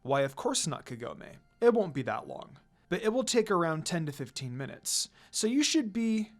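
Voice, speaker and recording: Polite male village doctor, acted by a story reader, mild-mannered, secretly shady, lively intonation, music behind. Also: medium pitch, normal speed. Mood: reassuring.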